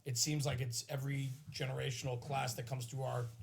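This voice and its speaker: A man's voice: mid-range voice, little pitch movement, brisk, creaky. A man chatting casually and agreeably as a podcast interviewer. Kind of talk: casual chat.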